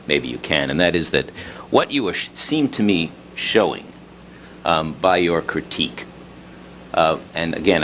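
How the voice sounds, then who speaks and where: A man moderating a panel, putting unscripted questions in a halting, circling way. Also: deep voice, fairly bright tone, slight background noise, rough recording.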